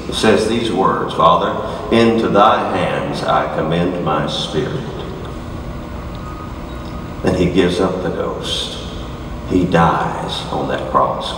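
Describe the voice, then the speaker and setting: An older man preaching a sermon, dropping to hushed low lines and rising to shouted high peaks as he moves around a large stage. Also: background noise. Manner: moves about the stage gesturing while preaching. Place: large dark auditorium stage. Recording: echoey hall from far off.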